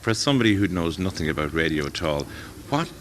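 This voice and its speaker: A man interviewing guests for a radio program, asking brisk, prompting questions. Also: sits low, big pitch swings, a little hiss or hum.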